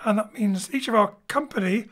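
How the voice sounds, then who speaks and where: A man's voice: high-pitched, a little fast, fairly bright tone. A man, a director and host, giving an informal spoken introduction to an audio show. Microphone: home studio microphone over internet link.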